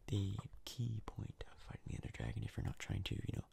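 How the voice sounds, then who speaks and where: A man giving hushed, casual ASMR game commentary in a breathy, gravelly whisper, close to the mic. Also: lowish voice, sing-song. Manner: hushed, casual, unhurried rambling. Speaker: gaming video host.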